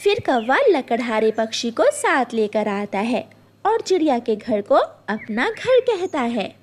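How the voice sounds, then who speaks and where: A woman's voice: on the high side, big pitch swings, a little slow, darkish tone. A woman storyteller reading scripted narration for a children's story.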